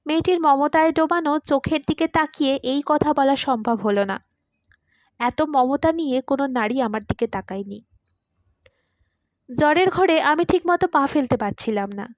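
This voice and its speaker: A woman reading a literary short story aloud in an expressive, dramatised narrating style. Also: sits high, moderate pace, no echo, lo-fi sound. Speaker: story reader.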